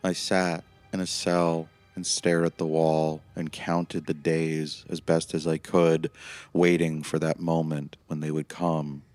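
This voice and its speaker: A man playing a weary, haunted time-traveling prisoner who narrates his memories in a measured, somber, reflective way, as in an audio play. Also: a bass voice, level tone, a little mellow, dry recording, soft background music. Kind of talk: scripted first-person dramatic narration.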